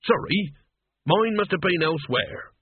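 A man voicing an affable, unfazed middle-aged countryman father, chatting in a mild, halting, rambling way. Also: sits high, animated, breathy edge, no echo, narrow, tinny audio, pitched above the performer's own voice, full character voice. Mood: affable, muddled.

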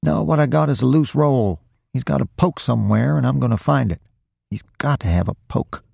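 Crime audiobook role: man playing pushy male pickpocket, street-tough, insistent, lifted pitch, gravel underneath, dry sound. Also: fairly quick, warm tone, no room sound. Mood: stubborn. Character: pushy, hard-boiled pickpocket, an adult male. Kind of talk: acted dialogue in a crime story reading.

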